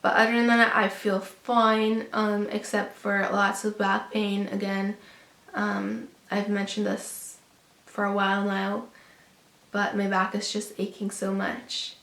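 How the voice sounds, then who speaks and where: A young woman with a raspy voice from a lingering cold, chatting casually to camera in a pregnancy update, with lots of ums and restarts. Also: mid-range voice, monotone, slow pace, fairly bright tone. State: hoarse, getting over a cold. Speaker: vlogger sharing a pregnancy update. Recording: echoey room.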